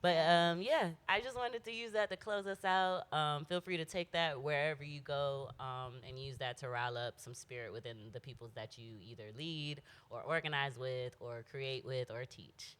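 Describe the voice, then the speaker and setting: A woman with a low-pitched voice hosts a community radio show. She talks in a casual, reflective way, informal and heartfelt, often feeling around for the right word. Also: steady tone, moderate pace, hardly any pauses, fairly bright tone, clean tone.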